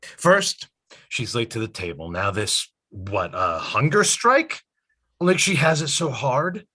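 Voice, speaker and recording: A man's voice: medium pitch, very animated, takes it slowly, pushed and bright, breathy edge, full character voice. A man playing a domineering, menacing father in a staged reading of a drama, stern and confrontational. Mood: scornful.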